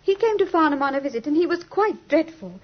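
A woman's voice: high-pitched, very animated, fast. An actress playing a genteel, earnest young British lady client, speaking expressively in the manner of 1950s radio drama. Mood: indignant, earnest. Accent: British English. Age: young adult. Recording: muffled, lo-fi 1950s radio recording.

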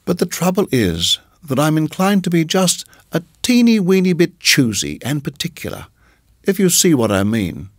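A man's voice: fairly high pitch, sing-song, normal speed, no echo, clean studio sound, pitched above the performer's own voice. A man putting on a cooing, sweet landlady. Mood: cooing sweetness. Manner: cooing, fussy, overly pleasant. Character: sweetly unsettling middle-aged landlady woman.

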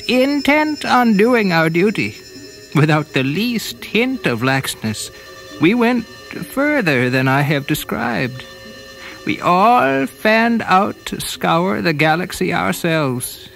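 A man voicing a frail old sage in a science fiction story, humble but defiant, creaky and deliberate. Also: fairly high pitch, a bit bright, pitched above the performer's own voice, exaggerated voice, low-quality recording. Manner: deliberate, dutiful, measured. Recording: music behind.

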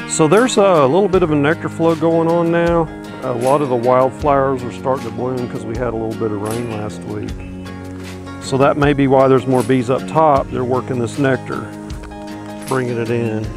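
A man's voice: medium pitch, normal speed. Easygoing man in a bee yard. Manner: easygoing, folksy, thinking aloud with fillers. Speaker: beekeeper vlogger. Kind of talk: casual narration while working. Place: outdoors in a wooded bee yard. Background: music and buzzing bees.

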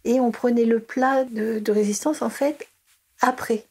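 Hesitant middle-aged woman, mellow husky voice. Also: on the high side, steady tone, measured pace. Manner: hesitant, many fillers and restarts. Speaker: interviewee, early childhood educator. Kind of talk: casual recorded interview.

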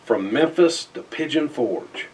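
A middle-aged man reads a rhyming children's picture book aloud in an expressive, theatrical way with emphatic phrasing. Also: mid-range voice, a little slow, far from the mic, a little hiss or hum. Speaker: storybook reader. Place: indoors in front of a festive backdrop.

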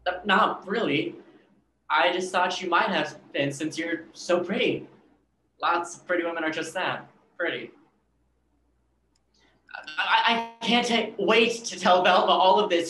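A woman's voice: fairly low pitch, animated, measured pace, fairly bright tone. An awkward, smitten young man, acted by a woman in a staged reading. The delivery hesitates and stammers, over a roomy video call with some background noise. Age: young adult. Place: indoor room, video call.

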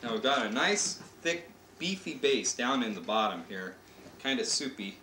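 A man's voice: medium pitch, expressive, moderate pace, bright, forceful tone. An American man in his thirties playfully presents a casual cooking demonstration, explaining it step by step as a father hosting a home cooking show. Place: home kitchen, indoors. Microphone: camcorder mic a few feet away. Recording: noisy, echoey home camcorder audio.

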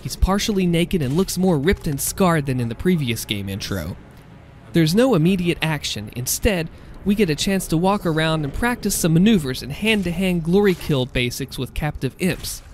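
A man hosting a gaming video, reading and commenting in a deliberate, theatrical narrator style. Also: fairly high pitch, expressive, a little hiss or hum.

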